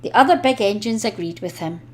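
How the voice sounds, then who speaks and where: A woman reading a children's storybook aloud in an expressive, deliberate storytelling manner. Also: medium pitch, slight background noise. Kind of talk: expressive scripted storybook narration.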